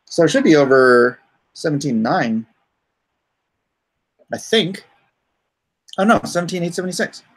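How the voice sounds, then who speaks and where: A man's voice: on the high side, very animated, unhurried, pauses often, breathy edge. A man hosting a casual livestream, rambling and easygoing as he thinks aloud. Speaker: livestream host and comic writer.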